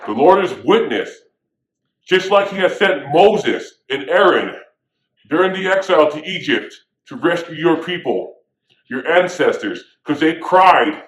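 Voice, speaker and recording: A man's voice: on the high side, big pitch swings, unhurried, fairly bright tone. A man playing a stern prophet who scolds his people, preaching in a casual, modern way full of slang. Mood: scolding. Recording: echoey room.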